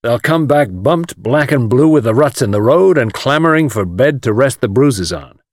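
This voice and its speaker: A man voicing a gruff, hearty male adventurer who teases his friend bluntly in an audiobook story. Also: medium pitch, expressive, moderate pace, no room sound, studio quality, natural voice. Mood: hearty, amused. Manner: blunt, jocular.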